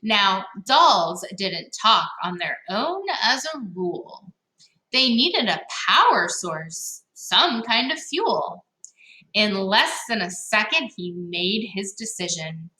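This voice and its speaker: Expressive woman reading a picture book aloud. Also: medium pitch, slow pace. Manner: dramatic, playful, emphatic. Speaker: read-aloud storyteller for children. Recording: roomy, echoing sound.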